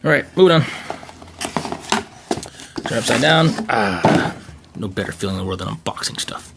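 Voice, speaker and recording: A man with a raspy voice casually talking through an unboxing, joking to himself, with plenty of fillers and restarts. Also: on the low side, animated, moderate pace, very noisy. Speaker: channel co-host doing an unboxing. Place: home gaming room.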